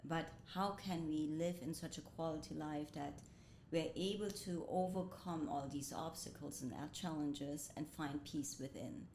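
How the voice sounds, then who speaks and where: A woman who grew up between Indonesia, Germany and the US, telling her life story as a guest in a reflective way. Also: measured pace. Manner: reflective, frequent soft laughs. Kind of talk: personal storytelling in conversation. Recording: roomy echo with faint rumble.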